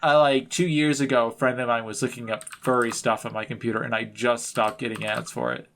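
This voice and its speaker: A man co-hosting a podcast, chatting casually in a halting, jokey way as he riffs on ideas. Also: medium pitch, little pitch movement, a bit bright. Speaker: podcast co-host. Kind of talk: casual comedic chat.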